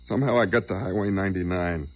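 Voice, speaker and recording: An actor playing a desperate, whining small-time crook on the run in an old radio crime drama, stammering, pleading and intense. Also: male voice, low voice, fast, highs cut off, close to an everyday voice. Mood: bitter, brooding. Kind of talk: old radio crime drama, dialogue and first-person narration. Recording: noisy vintage radio drama recording.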